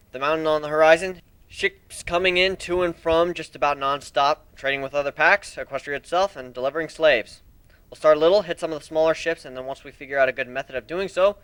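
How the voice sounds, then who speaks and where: A man giving an expressive, theatrical first-person narration as an amateur dramatic story reader. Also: on the high side, a little fast, clear, resonant tone, no room sound.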